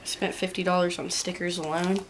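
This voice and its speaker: A woman's voice: on the low side, little pitch movement, a little fast, a little hiss or hum. A young woman chatting casually and a little shyly with her girlfriend in a small bedroom, recorded on a handheld camera. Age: young adult. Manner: giggly, shy. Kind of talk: casual chat.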